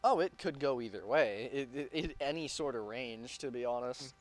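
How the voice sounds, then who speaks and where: A man on a sports podcast panel, chatting casually in an informal, somewhat halting way. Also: mid-range voice, animated, no room sound.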